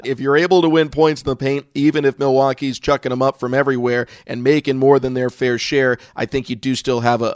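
A man's voice: mid-range voice, no room sound. Male play-by-play broadcaster hosting a sports podcast; mid-range voice, dry recording. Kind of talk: sports podcast analysis and interview.

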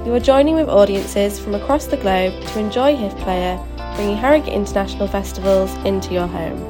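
A woman's voice: mid-range voice, fairly quick. Polished female podcast announcer over music. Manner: polished, welcoming announcer delivery. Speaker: podcast host. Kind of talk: scripted introduction.

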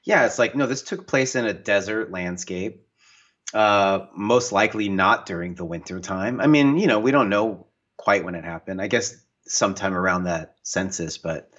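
A man's voice: fairly low pitch, normal speed, husky, muffled recording. A man from the US Northwest, a guest author and painter, talking casually in an interview and acting out what people said, with lots of fillers. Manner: animated storyteller, acts out quoted voices, many fillers.

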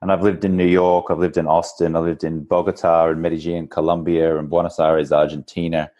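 A low-voiced Australian man in his forties talks as an interview guest. He is chatty and informal, telling personal stories in a conversational way. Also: little pitch movement, brisk, warm tone, highs cut off. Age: 40s. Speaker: podcast guest, entrepreneur. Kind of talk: casual interview storytelling.

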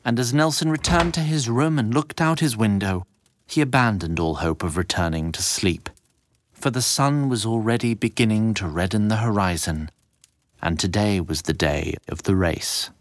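A man's voice: on the low side, normal speed, no echo. A man reading scripted narration as the narrator of an audio drama.

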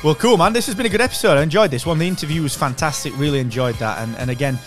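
A man's voice: fairly high pitch, rapid-fire, soft background music. A man co-hosting a podcast in a casual, chummy, jokey way. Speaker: podcast co-host. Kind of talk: casual chat.

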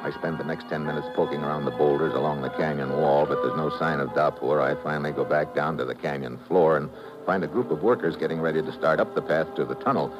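An actor playing a tough, laconic American secret agent, clipped and deadpan, in a 1950s radio adventure. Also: male voice, extremely low pitch, fast, dull audio, over music. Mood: terse, tense narration. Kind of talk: 1950s radio drama acting, hardboiled narration. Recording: noisy vintage radio recording.

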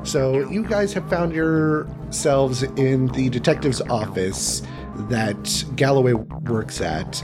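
A man talks casually as the host and game master of a podcast. His pitch is average, and guitar music plays clearly behind him. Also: takes it slowly. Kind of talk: casual intermission announcement and game narration.

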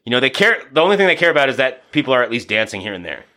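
Bright-voiced, profane man in casual chat. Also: medium pitch, very animated, rapid-fire. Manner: casual, profane, storytelling with acted asides. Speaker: podcast guest, boxing coach and former DJ.

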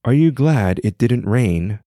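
A man's voice: medium pitch, slow, no room sound, clean studio sound. A man doing a wry old mystic. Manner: calm and wry.

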